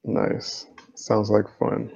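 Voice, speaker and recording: Low, gravelly man hosting a live stream. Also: monotone, drawn-out words, darkish tone, dull audio. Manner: casual and upbeat, uses um and man. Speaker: host of a live stream interview. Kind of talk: casual live stream interview.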